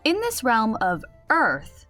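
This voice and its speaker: A woman playing a composed, patient but firm storyteller in a fantasy audio drama, explaining with gentle authority. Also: a little high, wildly swooping pitch, takes it slowly, faint music behind, heavily acted.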